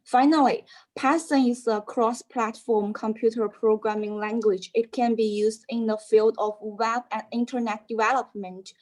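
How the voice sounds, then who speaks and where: Higher-voiced woman reading a class presentation. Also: a little mellow. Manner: careful reading with hesitations and self-corrections. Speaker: student presenter.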